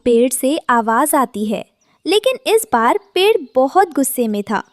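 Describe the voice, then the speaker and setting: A woman narrator tells a scripted cartoon story. Her voice is high and animated, rising and falling freely, with a dry studio-like closeness. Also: unhurried, dry recording.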